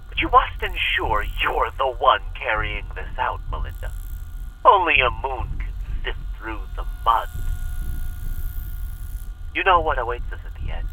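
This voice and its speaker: A hunted cult leader with a piercing, intense voice that swings widely in pitch. He preaches and pleads with theatrical, manipulative menace. It plays as a distant tape message in a horror audio drama. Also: pushed and bright, exaggerated voice. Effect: played back as a taped message.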